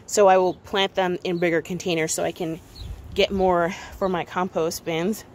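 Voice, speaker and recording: A woman's voice: on the low side, flat delivery, moderate pace, slight background noise. A woman talking casually and informally outdoors, explaining things as she points them out on an unscripted garden tour. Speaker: gardening vlogger giving a tour. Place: outdoors on a home deck.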